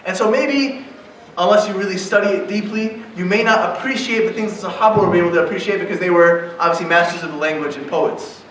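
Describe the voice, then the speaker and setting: A man's voice: sits high, fast, a bit bright, a little hiss or hum. Man lecturing in an echoey hall. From United States. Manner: conversational, often checks in with a questioning "right?". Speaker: guest lecturer at an Islamic center. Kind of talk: informal religious talk to young adults. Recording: very echoey hall, distant mic.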